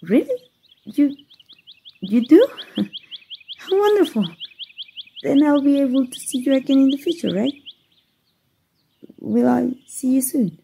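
A woman's voice: high-pitched, animated, a little slow, vocal fry, pauses often, warm tone, full character voice. A woman voice actor voicing a playful, flustered young fairy in an ASMR roleplay, giggling and stammering shyly.

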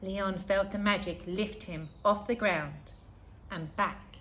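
A middle-aged woman reading a children's storybook aloud expressively, with deliberate, dramatic pauses. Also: mid-range voice, fairly bright tone. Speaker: primary school staff member reading aloud. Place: outdoors in a leafy school playground. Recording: faint outdoor ambient noise.

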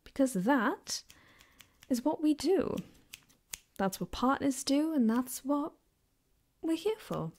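Woman acting a slow, soft ASMR girlfriend. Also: high-pitched, animated. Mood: tender, reassuring. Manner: soft-spoken, hesitant, rambling. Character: gentle caring girlfriend, comforting her partner. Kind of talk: ASMR roleplay, soft and partly whispered. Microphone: close binaural microphone.

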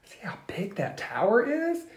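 An American man, a teacher in his thirties, reading aloud to children and asking them questions in an expressive, instructional way, recorded on a phone at home. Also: high-pitched, a little slow. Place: small room at home, indoors. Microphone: phone at arm's length. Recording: echoey room, phone recording.

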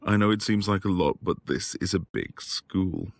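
A man acting a quiet, tender professor husband in an intimate boyfriend ASMR roleplay, soft-spoken and hesitant. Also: low-pitched, normal speed, no echo. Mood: fond, gently teasing. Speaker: audio roleplay voice actor.

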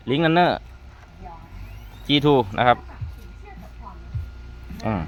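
A man's voice: a little high, very slow, a bit bright. A man giving an informal hands-on product walkthrough as a trainer, repeating words as he explains. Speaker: product trainer demonstrating network testers. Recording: muffled lo-fi audio, music underneath. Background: background music.

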